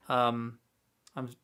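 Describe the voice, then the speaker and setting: Host: male, stuffy-nosed from a recent cold, rambling chatty livestream talk, mid-pitched, desk mic at home. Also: fairly bright tone. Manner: rambling, chatty, many fillers and restarts. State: congested, recovering from a cold. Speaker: toy review livestream host. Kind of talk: casual live chat while building a kit. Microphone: desk mic close by.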